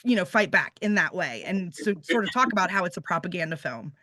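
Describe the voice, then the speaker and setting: A woman's voice: lowish voice, expressive, brisk, sharp tone, a little hiss or hum. A woman talking casually and emphatically on a panel, explaining her points.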